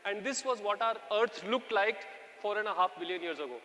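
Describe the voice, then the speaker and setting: High bright-voiced man in a reverberant hall. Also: brisk. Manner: storytelling, asks the audience questions. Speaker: speaker addressing a live audience. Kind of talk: lively talk to an audience.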